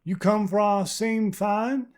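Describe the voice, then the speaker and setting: A man's voice: high-pitched, steady tone, slow pace, darkish tone, pitched above the performer's own voice. A man voicing a proud, tall older frontier woman with a worn, well-used voice and old-fashioned folksy speech. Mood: wary.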